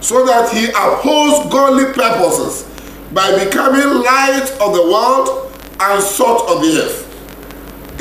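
A man with a Ghanaian accent preaching a radio sermon, repeating scripture lines in a slow, intoning way. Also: very high-pitched. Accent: Ghanaian English. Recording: echoey radio broadcast with faint hiss.

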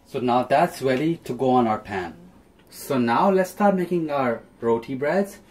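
A man's voice: mid-range voice, lively intonation, measured pace, darkish tone. A young man giving a casual, step-by-step cooking demonstration as host in a small home kitchen. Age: young adult. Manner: explains step by step.

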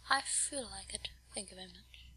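A woman's voice: medium pitch, normal speed, bright, forceful tone, a little hiss or hum, rough recording. A young woman co-hosting a casual pool game video, giggly and prone to whispered asides. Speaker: gamer co-host. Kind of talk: casual gaming chat.

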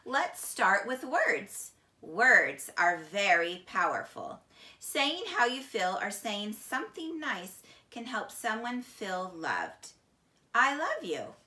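Female read-aloud teacher, middle-aged, unhurried and expressive, crisp bright tone, slightly low-pitched, roomy sound. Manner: slow, deliberate, expressive storytelling. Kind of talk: children's picture book read-aloud. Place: small indoor room, seated on couch. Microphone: camera a few feet away. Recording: echoey room.

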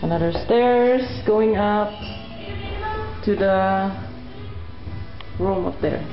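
A middle-aged woman walking through her house with a camcorder, naming each room in a halting, casual way. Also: mid-range voice, dull audio. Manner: halting, pointing things out one by one. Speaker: mother giving a house tour. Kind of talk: casual home-video narration. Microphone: built-in camcorder mic. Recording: echoey camcorder audio with music over it.

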